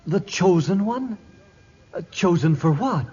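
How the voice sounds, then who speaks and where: High earnest young warrior, devout, broken english, muffled old radio drama. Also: male voice, expressive, slow pace, husky, full character voice. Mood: uncertain, awed. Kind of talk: 1950s radio acting. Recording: dull old radio recording, loud music behind.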